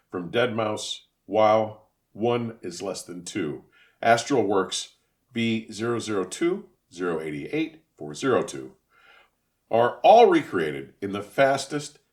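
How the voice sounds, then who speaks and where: A man narrating a scripted equipment review in an emphatic, florid manner, with deliberate enunciation. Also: lowish voice.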